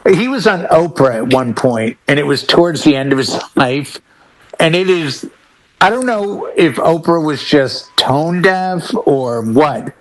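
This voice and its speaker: Higher-pitched man, animated and joking. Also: measured pace, rough recording. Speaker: podcast panelist and DJ. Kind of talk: casual chat.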